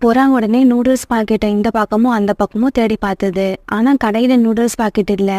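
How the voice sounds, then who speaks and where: A woman's voice: on the high side, steady tone, a little fast, warm tone, no room sound, clean studio sound. A woman narrating a scripted children's story as the storyteller.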